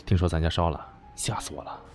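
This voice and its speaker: A man's voice: sits low, fast talker, darkish tone, faint music behind. A man acting a caring, protective young man in an amateur Chinese audio drama. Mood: soothing. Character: caring young man, protective older-brother type, worried but doting.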